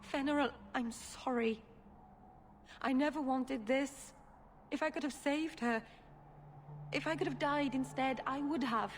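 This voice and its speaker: A woman playing a gentle, naive young female elf in a fantasy video game, with an earnest, halting delivery. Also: high voice, slight background noise. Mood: grieving.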